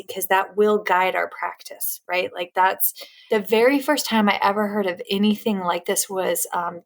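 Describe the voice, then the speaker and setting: A woman hosting an interview podcast in a casual, enthusiastic way, laughing often and swinging her pitch widely. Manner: lively, with big pitch jumps. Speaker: podcast host.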